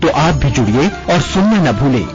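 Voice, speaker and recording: A man's voice: a little high, sing-song, moderate pace. A man with an Indian accent reading a scripted radio promo as an announcer. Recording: dull, band-limited radio broadcast sound. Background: music.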